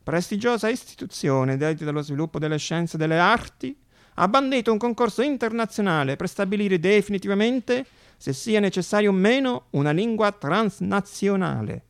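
A man narrating a theatrical radio monologue as an actor, with dramatic pauses and repeated phrases. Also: high-pitched, lively intonation, smooth.

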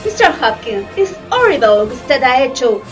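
A woman's voice: high voice, very animated, moderate pace. A woman playing a haughty, greedy English queen in a radio play, declaiming theatrically. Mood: scandalized. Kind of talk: community radio theatre acting. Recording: music bed behind the voice.

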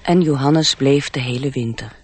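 A man narrating a radio play as a storyteller, in a measured, literary manner. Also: medium pitch, slightly rough.

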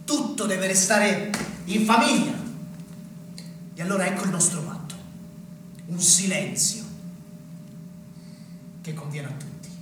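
An actor playing a taunting, menacing young provincial rebel in a stage drama, speaking in an insinuating way. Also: male voice, high-pitched, lively intonation, unhurried, long pauses. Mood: taunting, threatening. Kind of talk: theatrical stage acting. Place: stage of a theatre. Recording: echoey theatre, distant mic, noisy.

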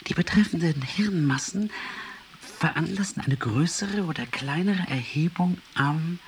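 A man's voice: on the high side, a little slow, a little hiss or hum. An actor playing a pedantic scholar in a radio drama, precisely enumerating his findings as he instructs. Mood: lecturing. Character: pedantic scholar examining a skull by touch. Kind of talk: radio drama, lecturing dictation.

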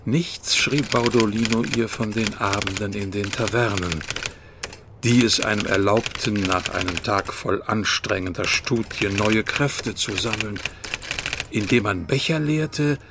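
An actor playing a chronicler who narrates a literary radio drama in scripted prose. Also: male voice, on the low side, unhurried, very noisy. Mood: matter-of-fact. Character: third-person chronicler narrator.

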